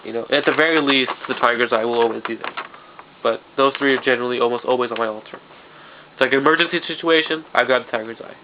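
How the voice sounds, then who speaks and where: A man's voice: medium pitch, fast. A young man with a stuffed-up nose, talking loosely in a vlog and often restarting his sentences. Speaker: vlogger. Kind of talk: casual vlog monologue. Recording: dull lo-fi home video with faint hiss.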